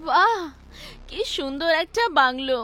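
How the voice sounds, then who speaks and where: A woman's voice: high-pitched, expressive, measured pace, bright, forceful tone, heavily acted. A woman playing a young wife in a dramatized horror story. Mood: delighted.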